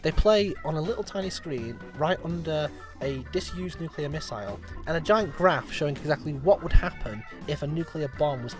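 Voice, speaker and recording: A young British man hosts a video retrospective with commentary that rises and falls freely. His voice is a bit high, and soft music runs underneath. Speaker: reviewer and host.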